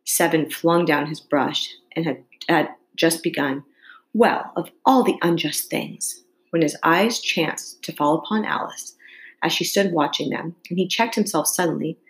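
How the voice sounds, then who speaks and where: A woman's voice: sits low, normal speed, poor audio. A woman reading a storybook aloud to students like a teacher, carefully and with expression.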